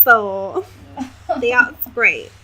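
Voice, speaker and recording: A woman's voice: a little high, animated, drawn-out words, sharp tone, slight background noise, rough recording. A woman co-hosting a podcast, casually discussing a book in a wry, informal way. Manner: wry, informal, peppered with mild swearing. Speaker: podcast co-host.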